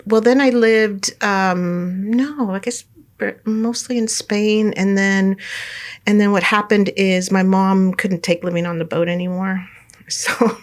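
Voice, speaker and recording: Give me a middle-aged woman with a fairly low voice, chatting loosely about her past with lots of fillers and laughter. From United States, California. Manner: rambling, many fillers and restarts, laughs often. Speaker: interview guest. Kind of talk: casual life-story interview.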